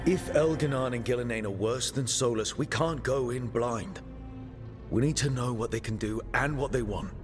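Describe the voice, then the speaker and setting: An actor playing an earnest, steady mage who leads a team in a fantasy video game, speaking in a measured, serious way. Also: male voice, mid-range voice, faint music behind, close to an everyday voice. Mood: resolute. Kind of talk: cinematic video game dialogue.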